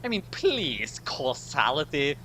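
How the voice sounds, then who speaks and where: Animated, high-pitched man commenting casually. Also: slow. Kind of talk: casual joking commentary on a read-aloud. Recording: clear background noise and hum.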